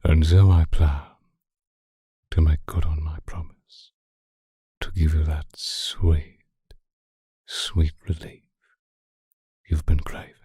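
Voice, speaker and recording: An extremely low, dark-toned male vampire, acted by a man, murmurs seductively right at the microphone in an ASMR roleplay. His voice is very breathy and husky, often sinking to a whisper. He is possessive and teasing, taking his time with each phrase. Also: level tone, dry recording, studio quality, exaggerated voice. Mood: seductive, intimate. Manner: slow, teasing, deliberate. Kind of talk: intimate ASMR roleplay monologue addressed to listener. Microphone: close microphone, dry.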